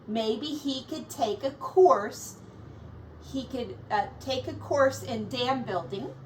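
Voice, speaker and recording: A woman teacher reading a children's story aloud, explaining things gently and simply as she goes. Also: high voice, slow, far from the mic, a little hiss or hum.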